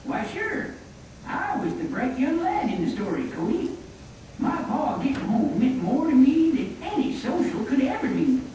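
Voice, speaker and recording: Woman as a high-voiced folksy cowboy storyteller. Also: big pitch swings, normal speed, mellow, a little hiss or hum. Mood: kindly. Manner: folksy western wording, kindly. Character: folksy old-time cowboy storyteller puppet, kindly man. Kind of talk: scripted storytelling narration. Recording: echoey room, distant, music behind.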